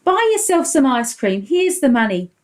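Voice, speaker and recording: A woman's voice: high voice, sing-song, moderate pace, a little mellow. A woman voicing an attentive storybook mother who gives her child simple, sing-song instructions. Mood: instructing.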